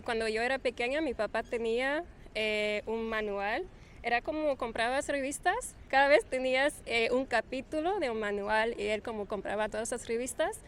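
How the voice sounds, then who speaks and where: A woman's voice: fairly high pitch, slight background noise. Chatty woman, light laughs. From Quebec, Canada. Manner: relaxed, laughs often, hesitant fillers. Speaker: guest. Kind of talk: casual interview conversation.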